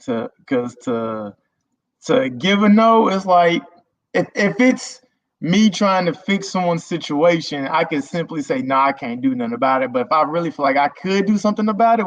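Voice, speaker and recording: A man's voice: a little high, no echo. A man, a content creator guest, talking casually about himself in an easygoing, rambling way over a video call. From Germany. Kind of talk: casual interview talk. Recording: dull lo-fi stream audio.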